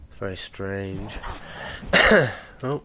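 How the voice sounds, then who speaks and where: A man's voice: drawn-out words, pushed and bright, slight background noise. A man hosting a casual gaming livestream, nasal and stuffy from a cold, chatting easily with viewers. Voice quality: low-key, chatty and easygoing. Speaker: livestream host.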